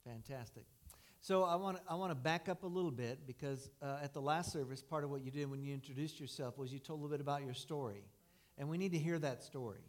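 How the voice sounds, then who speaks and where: A man's voice: a little high, fast. A man hosting an informal church interview, speaking affirmingly to a congregation and often repeating or restarting his phrases. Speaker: church host interviewing guests.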